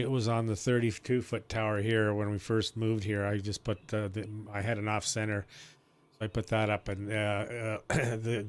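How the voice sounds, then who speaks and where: A man speaks in a clear, resonant voice on the low side. He is an amateur radio operator making relaxed, informal technical conversation. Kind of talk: casual ham radio chat.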